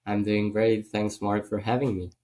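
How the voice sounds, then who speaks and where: A man's voice: lowish voice, little pitch movement, moderate pace, warm tone. A man from Italy with an Italian accent, a guest in a casual podcast interview, speaking thoughtfully with many fillers.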